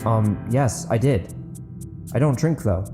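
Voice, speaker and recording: A man's voice: medium pitch, slow pace, close to an everyday voice. Man acting a blustering, boorish townsman, music behind. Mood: hesitant, defensive. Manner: blustering, yelping when worked up. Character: large, boorish, irate small-town busybody man. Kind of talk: dramatic audiobook character acting.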